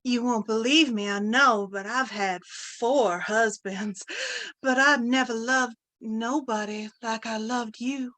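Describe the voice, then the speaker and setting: High, slow, sugary flirt played by a woman. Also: fairly even intonation, bright, forceful tone. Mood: coy, sugary. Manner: coy, drawling, cooing. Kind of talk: old-time radio comedy acting.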